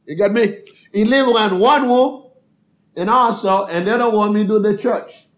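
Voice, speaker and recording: Older Haitian-accented man, higher-pitched voice. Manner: halting non-native English with short phrases and repeated appeals. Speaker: missionary preacher. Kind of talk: informal missionary presentation to a congregation.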